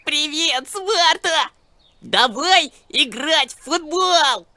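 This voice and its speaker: A woman's voice: high voice, animated, extremely slow, bright, forceful tone, no room sound, full character voice. A woman voicing a brash, careless young boy in a children's cartoon. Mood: brash, impatient. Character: brash careless young boy who only wants to play. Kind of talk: animated children's cartoon acting.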